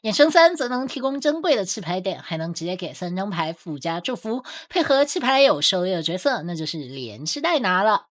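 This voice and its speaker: A woman's voice: medium pitch, big pitch swings, brisk, hardly any pauses. A woman reading scripted commentary for a game guide video as its host.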